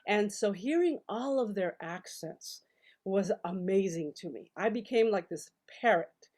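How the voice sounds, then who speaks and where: A woman's voice: medium pitch, measured pace. Chatty older woman on a video call. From El Paso, Texas. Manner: animated, chatty, many fillers and restarts. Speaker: guest, novelist and scholar. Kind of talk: casual storytelling interview. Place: home study with full bookshelves.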